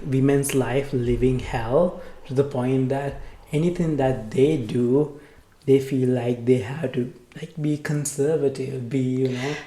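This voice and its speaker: A man's voice: medium pitch, dark tone. A man co-hosting a podcast in casual conversation, feeling his way through his words. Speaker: podcast co-host. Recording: roomy, echoing sound.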